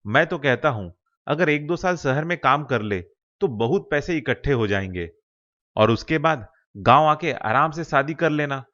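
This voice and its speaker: A man's voice: medium pitch, animated, unhurried, bright, forceful tone, lo-fi sound. A man playing an ambitious young village man in a cartoon story, persuading a friend in an earnest way. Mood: earnest, persuasive. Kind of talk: Hindi cartoon moral-story voice acting.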